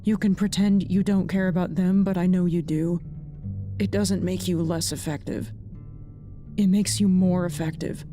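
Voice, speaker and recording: A woman acting in an audio drama as a blunt, guarded, quietly caring colleague, speaking plainly and earnestly. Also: on the low side, fairly even intonation, moderate pace, music in the background, lightly acted. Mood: earnest, urging.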